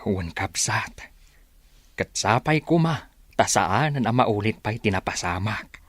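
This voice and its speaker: A man's voice: on the low side, expressive, a little slow, fairly bright tone, husky, no echo, clean studio sound, full character voice. An actor with an Ilocano accent playing a cautious, worried village father in a radio drama. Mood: consoling.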